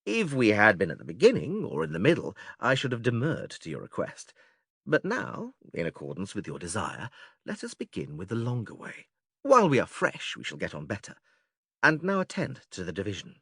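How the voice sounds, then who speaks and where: A man playing a patient, methodical philosopher who leads an argument in a dramatised classical dialogue, measured, formal and questioning. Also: lowish voice, wildly swooping pitch, gravelly, fairly bright tone, slightly rough, no room sound, studio quality, exaggerated voice. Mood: instructive. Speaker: audiobook actor reading philosophical dialogue.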